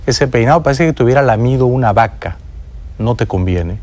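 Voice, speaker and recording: A man's voice: medium pitch, lively intonation, a little fast, darkish tone. A Peruvian man hosting a talk show, delivering an indignant, sarcastic monologue with teasing asides. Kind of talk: opinionated monologue.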